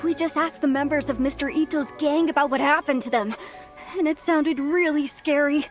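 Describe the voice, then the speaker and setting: An eager young yokai girl from a video game, voiced by a woman, polite and a little hesitant. Her voice sits high, with clear game music behind it. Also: normal speed, lightly acted. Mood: nervous. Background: game soundtrack music.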